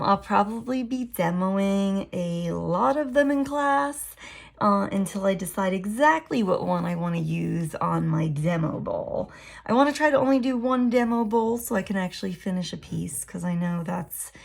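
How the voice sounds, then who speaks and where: Woman art teacher, raspy with gravelly fry, relaxed live drawing demo narration. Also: medium pitch, normal speed. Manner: relaxed, thinking aloud while drawing.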